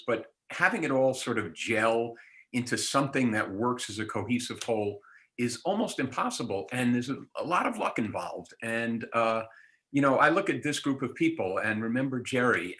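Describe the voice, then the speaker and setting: A man with a bright, forceful voice of ordinary pitch talks casually on a video call. He is earnest and reflective, like someone looking back on work with old colleagues. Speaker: comedy writer reminiscing with cast. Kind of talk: casual reunion conversation.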